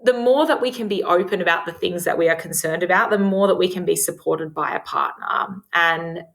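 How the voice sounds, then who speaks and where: Frank, loud-voiced woman, lowish pitch. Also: brisk, gravelly. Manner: frank, open about sex, filler-heavy "like". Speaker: guest sex therapist. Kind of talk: casual podcast conversation.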